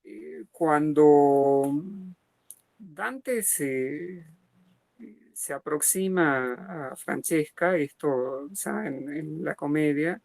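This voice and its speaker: A man lecturing on literature at a slow, halting pace, his voice sitting a little high for a man. He stops often to search for words, and the sound is dry and close with no room around it. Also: creaky, darkish tone, dry recording, rough recording. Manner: hesitant, many pauses and fillers; slow and deliberate. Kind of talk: academic lecture with a reading.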